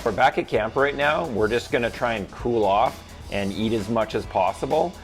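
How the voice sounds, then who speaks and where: A man, an ultramarathon runner, speaking in a reflective, measured way in a documentary interview. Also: mid-range voice. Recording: music bed under the voice.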